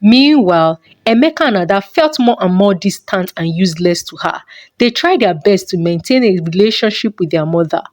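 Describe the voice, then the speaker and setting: A woman's voice: mid-range voice, darkish tone, no echo, studio quality. A woman reading a scripted folktale as a storyteller.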